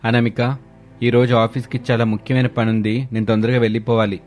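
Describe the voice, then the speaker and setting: A man's voice: mid-range voice, flat delivery, brisk, a little mellow, lightly acted. A man voicing a busy, affectionate husband and father in a cartoon family story. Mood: hurried. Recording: music bed under voice.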